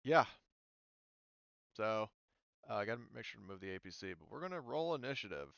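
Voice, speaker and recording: A man acting as game master, casually refereeing a tabletop game and thinking aloud in a halting way. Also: lowish voice, expressive, vocal fry, a bit bright, smooth, dry recording, highs cut off.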